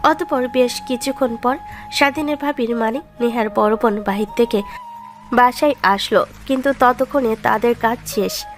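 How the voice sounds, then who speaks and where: Woman narrator with a fairly high voice. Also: normal speed, faint music behind. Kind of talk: scripted romantic story narration.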